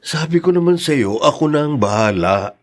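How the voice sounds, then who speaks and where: An actor playing a calm, reassuring widowed father in a radio drama, soothing and patient, with a Filipino accent. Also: fairly high pitch, normal speed, close to an everyday voice.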